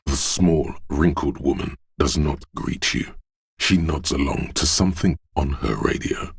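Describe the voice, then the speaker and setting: Game narrator: male, extremely low, husky and raspy, brooding, hushed, literary. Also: lively intonation, measured pace, dry recording, clean studio sound, heavily acted. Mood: hushed, observant. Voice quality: very deep, gravelly, husky.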